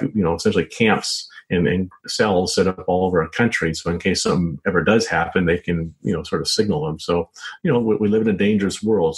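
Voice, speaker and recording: An American man speaking as an author being interviewed, answering casually and haltingly with lots of hedging filler phrases. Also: low-pitched, poor audio. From United States. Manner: halting, frequent hedging with "you know" and "sort of". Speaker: author and journalist, interview guest. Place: home office set up as a small studio.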